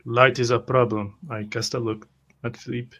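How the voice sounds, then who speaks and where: Male player, reserved tabletop adventurer, medium pitch, very even intonation, terse, measured. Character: slow-moving, reserved adventurer with creaky joints. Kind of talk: tabletop roleplay, narrating own character.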